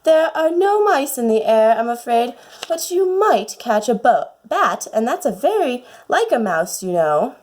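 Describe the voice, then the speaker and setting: A woman's voice: fairly high pitch, very animated, measured pace, a little mellow. A woman voicing a curious, polite little storybook girl who muses to herself in a put-on British accent. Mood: wistful. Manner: musing, talking to herself.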